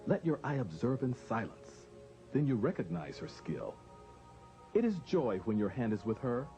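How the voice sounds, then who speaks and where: A man reading scripted documentary narration in a measured, formal, expressive way. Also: medium pitch. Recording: dull lo-fi audio with music.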